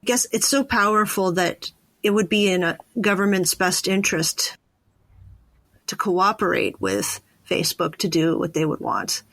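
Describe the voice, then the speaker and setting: A woman's voice: on the low side, expressive, normal speed, fairly bright tone, dry recording. A woman hosting a podcast, talking informally and thinking aloud in a casual, reflective conversation. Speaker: podcast host interviewing a guest.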